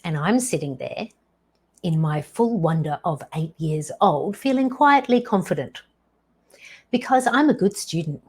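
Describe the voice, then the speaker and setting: Husky-voiced woman telling a slow story. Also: fairly low pitch, lively intonation. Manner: slow, deliberate delivery with dramatic pauses. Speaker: podcast host. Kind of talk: personal storytelling monologue.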